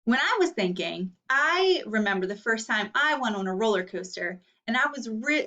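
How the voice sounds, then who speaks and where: Woman teacher, children's read-aloud lesson, instructional, high pitch, very lively intonation, dull distant recording. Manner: instructional, asking guiding questions. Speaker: elementary teacher.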